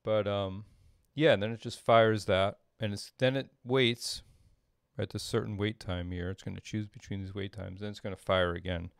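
A middle-aged man giving an informal tutorial at his desk, thinking aloud in a halting way as he explains. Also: on the low side, steady tone, gravelly, clean tone, no echo, clean studio sound. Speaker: composer demonstrating audio programming. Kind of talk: informal screen-share tutorial. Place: small indoor room at a desk.

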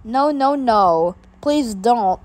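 A woman's voice: a little high, animated, very slow, a little mellow. A woman voicing a whiny young cartoon boy who begs and protests in a homemade skit. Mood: pleading. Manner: whining, begging protests.

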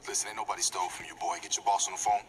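A man's voice: low voice, level tone, very fast, slight background noise, close to an everyday voice. A man playing a menacing young American gang leader in a video game, taunting, slangy and profane. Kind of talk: video game cutscene acting.

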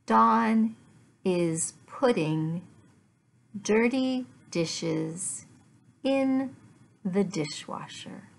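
Very slow-speaking woman teacher with creaky voice. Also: mid-range voice, expressive. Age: middle-aged. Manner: deliberate, enunciating word by word in short phrases with pauses. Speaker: English teacher. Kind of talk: slow language lesson for learners. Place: small living room at home, indoors.

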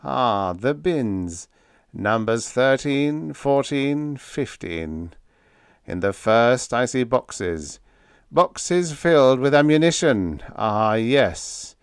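Man acting a droning little spy, raised pitch. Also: animated, clean tone, no echo, studio quality. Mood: droning trance. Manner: droning, halting, self-important. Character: snappish little spy with a trance-like, droning manner. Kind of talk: dramatised science fiction story reading.

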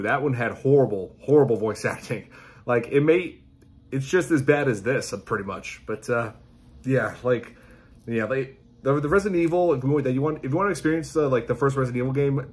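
A man in his thirties chatting casually as a reaction host, informal and halting, often repeating himself. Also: mid-range voice. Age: 30s. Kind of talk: casual reaction chat to camera. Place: small indoor room at home.

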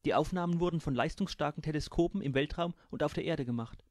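A man's voice: fairly high pitch, fast, a little mellow, no room sound, clean studio sound. A man reading his own humorous audiobook aloud, narrating and giving each crew member a voice.

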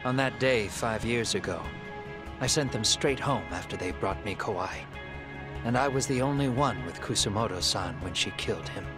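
An actor playing a grave, calculating middle-aged man in a video game drama, speaking in a measured, deliberate way. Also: fairly low pitch, music in the background, natural voice. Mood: solemn. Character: composed middle-aged male fugitive avenger, calculating and grave.

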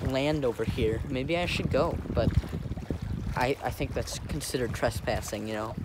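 A young American man rambling casually to camera in an offhand, easygoing way, recorded outdoors on a phone. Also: medium pitch. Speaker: vlogger. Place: outdoors on a lake in a kayak and on shore. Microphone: phone held or propped close. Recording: noisy outdoor wind and water noise.

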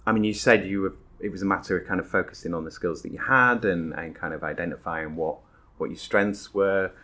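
A man with a low voice hosts a casual interview podcast. He hesitates and searches for words, circling around his questions. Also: a little fast, pushed and bright. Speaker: podcast host.

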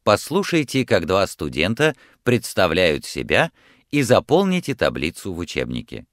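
Deep-voiced man reading course instructions. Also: huge pitch swings, dry recording, studio quality. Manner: clear, measured instructional delivery. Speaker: course narrator giving instructions. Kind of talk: scripted language-course instructions.

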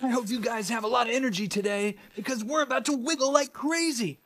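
An excited man in his thirties presenting a children's show with energetic, exclamatory delivery. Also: very high voice, fast talker.

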